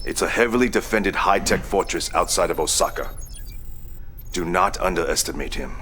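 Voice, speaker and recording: Very deep-voiced, stern Japanese ruler. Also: male voice, fairly bright tone, soft background music. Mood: stern warning. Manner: measured, stern, formal. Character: proud, grave Japanese ruler warning an Allied commander. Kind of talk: dramatic video game cutscene briefing.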